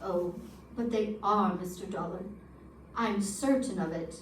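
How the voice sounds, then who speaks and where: A woman's voice: medium pitch, measured pace, lightly acted. A woman playing an anxious, earnest man with information to give in an old-time radio drama. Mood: uneasy, insistent. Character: anxious, earnest male informant fearing for his life. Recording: echoey room, distant mic, background noise.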